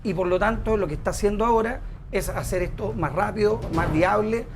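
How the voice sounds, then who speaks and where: A man's voice: high-pitched, a little fast, background noise. A middle-aged man with a Chilean accent, a senate candidate defending his side in a TV panel debate, insistent and explanatory.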